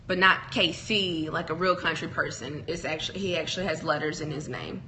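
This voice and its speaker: A woman's voice: low-pitched, steady tone, brisk, a crowd in the background, low-quality recording. A young woman co-hosting a casual couple's chat show, rambling and playful with frequent giggles. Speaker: podcast co-host.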